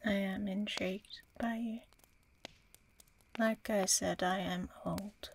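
An ancient female dragon played by a woman in a fantasy ASMR roleplay. She speaks very slowly and with a gravelly edge, breathy and proud, toying with her listener in quiet menace. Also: medium pitch, fairly even intonation, slight background noise. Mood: intrigued. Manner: slow, deliberate, breathy, teasing.

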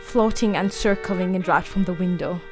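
A woman playing a frail, frightened young gentlewoman in a radio play, gentle and brave. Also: lowish voice, normal speed, over music, natural voice. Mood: weary, fearful. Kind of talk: radio play acting, partly diary narration.

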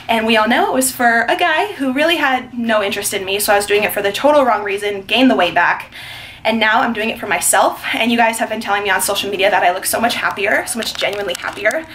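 A young American woman vlogs in a casual, chatty way. Her voice sits a little high and she talks quickly, with effusive, slangy phrasing. There is some faint noise behind her. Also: fairly bright tone. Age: young adult. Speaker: vlogger. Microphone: camera at arm's length.

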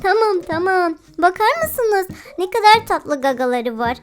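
Very high-pitched, playful woman hosting for children. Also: sing-song, measured pace. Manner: cooing, exclaiming, talks to animals and child viewers; giggly. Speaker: children's game video host. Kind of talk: playful game commentary for children. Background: background music.

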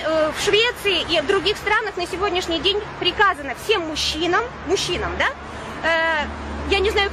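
A woman in her forties, born in Ukraine and raised in Russia, giving an urgent, insistent speech at a street picket and often pausing on drawn-out "uh" fillers. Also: very high-pitched, noisy background. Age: 40s. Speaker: protester at a picket. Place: outdoor street picket beside traffic.

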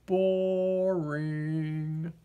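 A woman voicing a grumpy, unimpressed talking potato from a storybook, flat and dismissive in delivery. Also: low-pitched, drawn-out words, smooth, narrow, tinny audio, voice pushed down. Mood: bored, dismissive.

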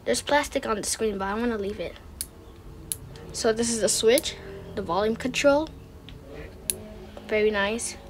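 A woman's voice: on the high side, a little slow, long pauses. A woman hosting a casual unboxing, making short, repetitive remarks as she handles items. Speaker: host of an unboxing video. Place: indoors, room with wooden floor. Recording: clicks and handling noise.

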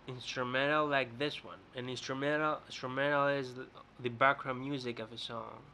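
A young man from Greece with a Greek accent, rambling in a casual vlog rant to his webcam in a bright indoor room, often repeating and restarting words. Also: medium pitch, slight background noise. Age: young adult. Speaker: vlogger. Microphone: webcam close up.

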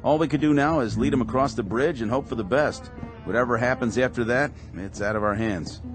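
An actor playing a firm, dutiful American police officer with a matter-of-fact manner in a radio drama. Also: male voice, mid-range voice, a little fast, over music, close to an everyday voice.

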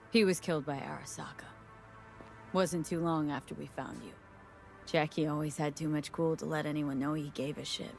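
Low-voiced streetwise female mercenary. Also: creaky. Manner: casual, slangy, wry. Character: tough, streetwise young female mercenary, wry and guarded. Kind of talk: naturalistic video game voice acting.

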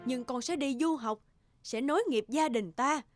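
Woman playing a stern, high-voiced controlling mother. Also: normal speed, over music, lightly acted. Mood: stern, insistent. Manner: blunt, insistent. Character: stern, controlling middle-aged wealthy mother. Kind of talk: animated drama dubbing.

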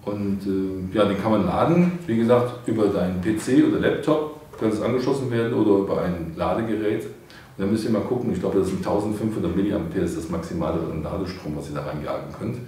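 A man's voice: deep voice, fairly quick, mellow. A middle-aged man reviewing a product at a studio desk, casually walking viewers through it step by step. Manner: informal, explains step by step to viewers. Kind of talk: casual product demo for hobbyists. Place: indoor studio desk with painted backdrop. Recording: very echoey room, mic far off.

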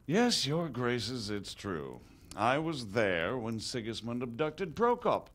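A grave, persuasive nobleman lays out the politics of the kingdom in a formal, earnest manner. His intonation rises and falls in a lively way at a middling pitch, as in a historical video game cutscene. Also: slow. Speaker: visiting margrave explaining politics.

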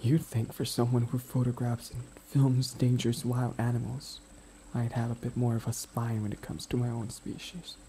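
A man playing a gentle, timid, grieving best friend in an intimate audio roleplay, speaking softly and haltingly as he confides. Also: medium pitch, little pitch movement, lightly acted, low-quality recording. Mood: apologetic. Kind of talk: boyfriend ASMR audio roleplay, intimate dramatic acting. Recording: noticeable background ambient noise.

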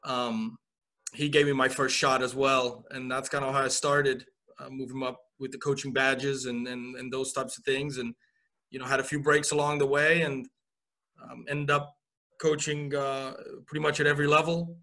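A man in his forties, a college soccer coach from Romania, telling his career story on a video call with relaxed pacing and frequent fillers. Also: mid-range voice, moderate pace. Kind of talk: video call interview answers. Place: indoor office.

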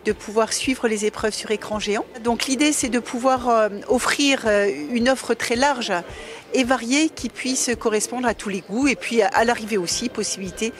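A woman in her fifties, an event organizer, giving an outdoor interview into a handheld mic, measured and halting with frequent hesitations. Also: a little high. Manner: measured, many hesitations and restarts. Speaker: organizing committee director. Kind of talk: on-camera interview.

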